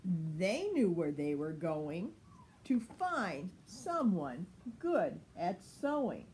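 A woman's voice: lowish voice, sing-song, very slow, a little mellow, slight background noise. A woman in her sixties reading a children's picture book expressively, lingering dramatically on words. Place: sunny room at home by large window.

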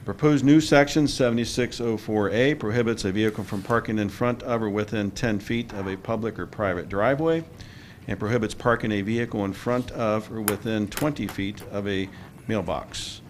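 Low-voiced police chief reading an ordinance summary with faint background hum. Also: male voice, moderate pace. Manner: reads an official summary steadily. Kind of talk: formal report to council. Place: council chamber. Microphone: table microphone.

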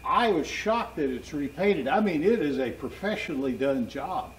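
An older man chatting casually and haltingly as he works, thinking aloud. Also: fairly high pitch, lively intonation. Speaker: machine restorer and channel host. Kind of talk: casual chat while working. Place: open shop doorway beside a trailer. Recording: noisy workshop recording.

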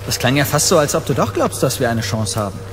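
A man's voice: medium pitch, sing-song, quick pace, close to an everyday voice. A young actor playing an optimistic, reassuring young father in naturalistic audio drama dialogue. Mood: easygoing, calm. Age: young adult. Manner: reassuring, easygoing. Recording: studio audio drama with loud music underneath.

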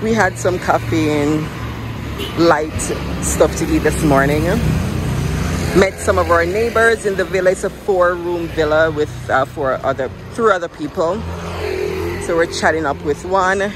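A woman's voice: lowish voice, unhurried, background noise. A woman vlogging casually to camera, chatty and upbeat, calling her viewers "you guys" as she walks the streets and sits in small cafes. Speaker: travel vlogger. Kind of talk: casual vlog chat to camera. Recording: loud background music over the voice. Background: loud music.

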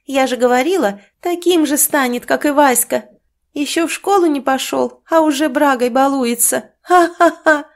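A woman's voice: sits high, normal speed, voice pushed up. A woman voicing a gloating, gossiping village neighbor woman. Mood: mocking. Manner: mocking, cackling.

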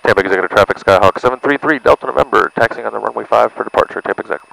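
A man's voice: lowish voice, very fast, gravelly, fairly bright tone, poor audio. A man working as a pilot, making radio calls and explaining things to his passenger over a cockpit headset. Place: small propeller plane cockpit in flight. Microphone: aviation headset boom mic. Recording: headset intercom with engine and radio noise.

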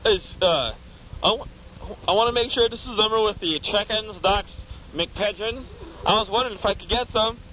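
A man making a prank phone call, rambling and halting in a mock-naive way. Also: high voice, bright, forceful tone, a little hiss or hum. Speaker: prank caller.

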